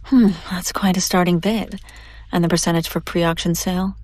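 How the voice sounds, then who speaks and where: A woman's voice: fairly low pitch, steady tone, darkish tone, dry recording, close to an everyday voice. Woman acting a haughty, predatory demon woman, close and dry. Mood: cool, businesslike. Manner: condescending, teasing, drawn-out theatrical delivery. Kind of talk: scripted audio roleplay.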